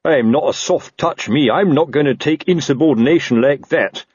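A man's voice: on the high side, moderate pace, darkish tone, breathy edge, dry recording, highs cut off, pitched above the performer's own voice. A man voicing a fat, old, pompous police captain who snaps officiously and suspects everyone, in a fantasy audiobook. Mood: indignant. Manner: snapping, blustering, officious.

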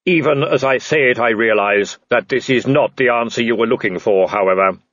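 A man voicing a calm, polite, literal-minded supernatural reaper in an audiobook, speaking in a measured, formal, deadpan way. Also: medium pitch, no echo, muffled recording, lightly acted. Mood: deadpan, courteous.

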